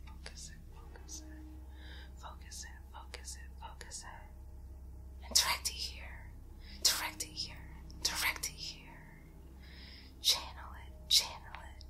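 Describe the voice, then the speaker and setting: Vengeful ASMR witch acted by a young woman: whispered, unhurried, intense incantations, soothing yet spiteful. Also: on the low side. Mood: intense, focused. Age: young adult. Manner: slow, hushed, incantatory repetition. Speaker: ASMR roleplay performer. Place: bedroom, indoors. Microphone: close mic, seated near camera.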